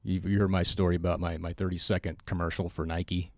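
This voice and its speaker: Very deep-voiced male podcast host. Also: fast talker, vocal fry, dry recording, clean studio sound. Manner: relaxed, storytelling, self-deprecating. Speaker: podcast host and fitness school instructor. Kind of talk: casual podcast conversation.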